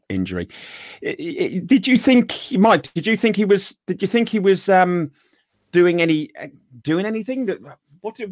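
A man's voice: on the high side, no room sound, clean studio sound. An Irish man hosting a casual fan chat show, talking in a rambling way with many restarts. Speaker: podcast host.

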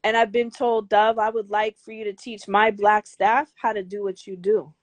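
An older woman with a high, girlish voice, speaking bluntly and emphatically as an interview guest, swearing freely. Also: flat delivery, normal speed, dry recording. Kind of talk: candid interview talk.

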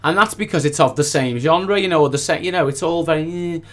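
A man's voice: fairly high pitch, fast talker. A man who is a recording artist, chatting casually and informally in a studio interview, often repeating phrases for emphasis.

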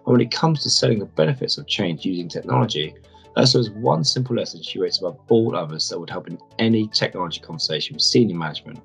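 A man hosting a podcast interview, upbeat and chatty, firing off quick questions. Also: deep voice, lively intonation, creaky, fairly bright tone, slightly rough, faint music behind, poor audio. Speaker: podcast host.

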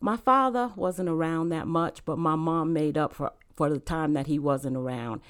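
Earnest woman from Rhode Island, lowish voice. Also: moderate pace, clear, resonant tone. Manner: earnest, speaks openly of her faith. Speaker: guest, church member and author. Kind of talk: casual interview.

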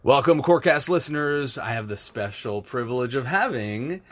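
A man's voice: mid-range voice, animated, normal speed, music in the background. A man hosting an interview podcast, opening the show and prompting his guest to share his story. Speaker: podcast host.

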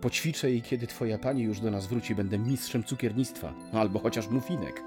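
A man's voice: fairly low pitch, fairly quick, lightly acted. A man playing a lonely, irritable husband who grumbles sardonically to himself, in a radio play. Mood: rueful. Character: lonely, irritable husband missing his absent wife. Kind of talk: Polish radio play acting, dialogue and monologue. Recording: music under the voice.

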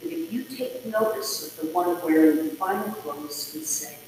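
A woman reading scripture aloud as a church lector, formal, careful and expressive. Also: on the low side, measured pace. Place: large reverberant church. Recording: very echoey hall, distant, faint noise.

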